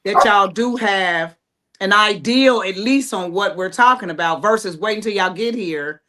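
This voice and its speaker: A woman's voice: mid-range voice, normal speed, heavy background noise. A woman from Ohio, a theater director and podcast host, chatting casually and acting out examples as she explains.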